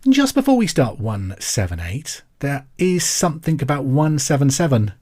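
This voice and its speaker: A man's voice: medium pitch, big pitch swings, moderate pace, a little mellow. An Englishman presenting a pub quiz podcast in a chatty, conversational way, as the host. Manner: conversational, clearly repeats questions. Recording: music behind the voice. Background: music.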